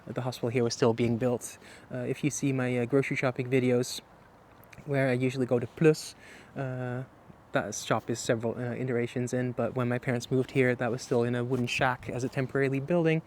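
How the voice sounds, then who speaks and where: A man's voice: medium pitch, brisk, slight background noise. A man from the Netherlands with a light Dutch accent, casually vlogging to camera with lots of "uh"s, recorded on a clip-on mic in his home office. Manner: relaxed, many filler "uh"s, rambling explanations. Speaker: YouTuber. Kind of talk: casual vlog talk to camera. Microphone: wireless clip-on mic.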